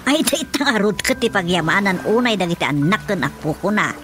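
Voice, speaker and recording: An actress with a Filipino accent playing a nagging, chatty elderly wife in a radio comedy drama. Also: female voice, medium pitch, very animated, brisk, music in the background, close to an everyday voice. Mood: chatty, concerned.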